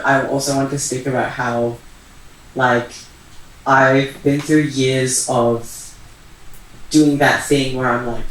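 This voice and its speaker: Echoey, halting young man, far from mic. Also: medium pitch, fairly bright tone. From Iran. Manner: halting, searching for words. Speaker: panel speaker. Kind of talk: casual personal reflection at a community talk. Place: echoey event venue. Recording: very echoey room, distant mic, faint noise.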